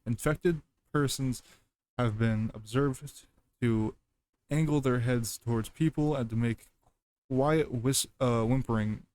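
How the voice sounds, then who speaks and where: A man doing casual reaction commentary and reading aloud, halting as he goes and repeating himself over stumbles. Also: medium pitch, a little mellow. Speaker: reaction video host.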